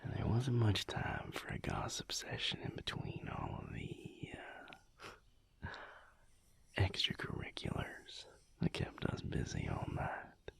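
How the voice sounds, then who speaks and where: A man playing a laid-back, affectionate vampire lover in an intimate audio roleplay, with a Southern US drawl and a breathy, gravelly murmur, teasing lazily. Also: lowish voice, wildly swooping pitch, slow pace, fairly bright tone, in a whisper, full character voice. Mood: relaxed gossipy. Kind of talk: intimate audio roleplay, whispered pillow talk.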